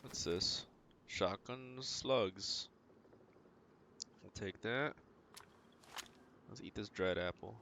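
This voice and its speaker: A man giving casual, low-key video game commentary, thinking aloud as he plays. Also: extremely slow, gravelly, pauses often, pushed and bright, slight background noise. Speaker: game streamer.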